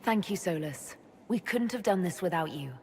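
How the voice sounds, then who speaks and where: A woman's voice: on the low side, lively intonation, moderate pace, creaky, a little mellow, a little hiss or hum. A woman playing a sincere, curious heroic leader in a fantasy video game, speaking earnestly and politely.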